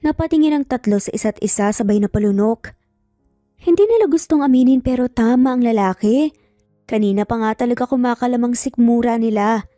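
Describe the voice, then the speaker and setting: Filipino female narrator for dramatic story, gentle dark timbre, very dry recording. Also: a little high, a little fast, dry recording, faint music behind.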